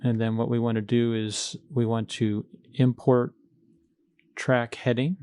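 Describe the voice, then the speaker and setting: Male tutorial instructor, mid-pitched, methodical and plain-spoken, recorded close and dry. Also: flat delivery, unhurried, dark tone, clean tone, no echo. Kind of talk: screencast coding walkthrough, explaining step by step.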